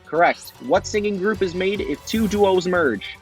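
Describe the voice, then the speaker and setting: A man hosting a quiz game show, reading questions and ruling on answers in a brisk, formal way. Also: sits high, soft background music. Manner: brisk, formal quizmaster delivery.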